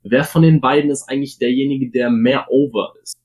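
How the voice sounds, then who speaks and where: A man's voice: mid-range voice, fairly even intonation, brisk, darkish tone. A man co-hosting a casual wrestling podcast, talking in an opinionated way and weighing his points with frequent fillers. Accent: German. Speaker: podcast co-host.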